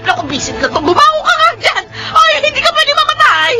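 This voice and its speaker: An actress playing a quick-tempered, nagging pregnant wife in a radio drama, scolding and wailing. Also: female voice, extremely high pitch, sing-song, sharp tone, shouting, some chatter behind, heavily acted. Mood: panicked. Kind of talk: 1970s-style Filipino radio drama acting.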